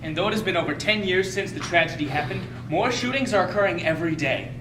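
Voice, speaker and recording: A woman's voice: on the low side, animated, fast talker. A woman giving scripted dramatic narration in a readers theater performance. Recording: echoey noisy room, far from mic.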